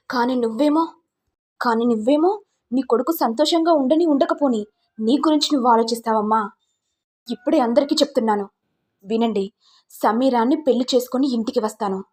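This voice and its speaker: A man's voice: very high-pitched, darkish tone, slightly rough. A woman voicing an angry, defiant adult son in a story, ranting and accusing.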